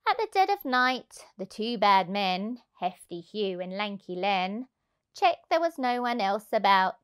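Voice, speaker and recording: A woman, around her thirties, reading a children's rhyming storybook aloud in an expressive, rhythmic way. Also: mid-range voice, unhurried, smooth. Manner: animated, rhythmic, emphatic delivery. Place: indoors on a sofa. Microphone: clip-on lapel mic.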